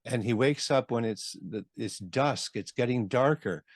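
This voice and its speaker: A man's voice: medium pitch, a little slow, no echo, studio quality. A man speaking conversationally as the host of an interview show.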